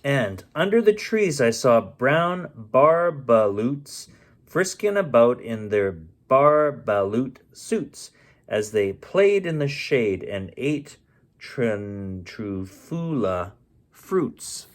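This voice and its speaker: A man reading a rhyming children's storybook aloud as a bedtime story host, with an expressive, unhurried delivery and the odd stumble. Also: medium pitch. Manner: unhurried, stumbles and corrects himself.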